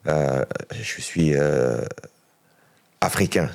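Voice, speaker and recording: Deep-voiced middle-aged man, very low pitch, laid-back interview guest, relaxed answers, frequent fillers. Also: drawn-out words, vocal fry. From France. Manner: laid-back, lots of fillers and agreeing "ouais". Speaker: musician guest.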